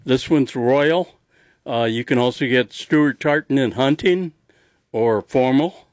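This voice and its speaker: A man's voice: medium pitch, slow, no room sound. Man chatting on radio, very dry sound. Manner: teasing, coy. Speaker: radio show contributor. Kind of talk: casual radio chat.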